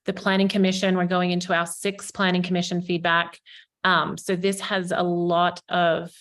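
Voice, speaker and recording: A woman's voice: on the low side, flat delivery, moderate pace, a bit bright. A woman in her forties with an Australian accent, a developer giving an upbeat, informal presentation to a town council over a video call, with plenty of ums. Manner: upbeat, selling, frequent ums.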